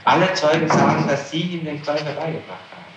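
A man's voice: on the high side, lively intonation, quick pace. A man speaking as a public prosecutor in a formal interrogation, methodically and insistently laying out witness testimony. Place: reverberant room, archive tape recording. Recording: noisy, echoey 1960s interrogation tape.

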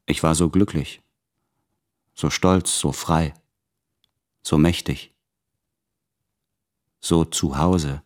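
A tormented male letter writer in a radio play. His voice is low, and he confesses in measured, introspective phrases. He sounds anxious and self-abasing, yet tender. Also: steady tone, a little mellow, full character voice. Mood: confessional, wistful. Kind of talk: intimate literary radio play monologue.

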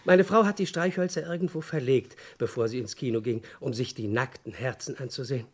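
A man's voice: medium pitch, brisk, close to an everyday voice. An actor playing a long-winded, over-polite, cheerfully oblivious nosy male neighbour in a comic radio play. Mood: chatty, over-polite. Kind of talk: comic German crime radio play, 1950s-80s.

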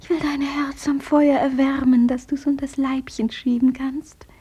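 A prim, dreamy spinster dressmaker with a high, breathy voice. She speaks in tender, whispered sweetness in a radio play. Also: level tone, a little slow, dark tone, muffled recording. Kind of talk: radio drama acting.

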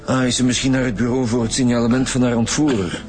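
A man's voice: mid-range voice, flat delivery, fast, a little mellow, faint music behind, natural voice. A man with a Flemish accent playing a shrewd senior homicide inspector in a radio drama, dry and probing with short questions. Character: shrewd senior homicide chief inspector, Romain Van Deun.

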